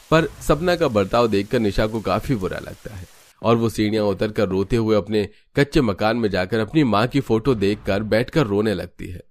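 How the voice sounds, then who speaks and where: A man reading scripted narration for a cartoon family story. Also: fairly low pitch.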